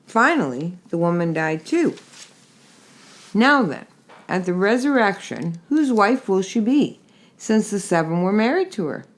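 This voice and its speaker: A woman reading a Bible chapter aloud in a measured, steady way with gentle emphasis. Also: medium pitch. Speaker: scripture reader.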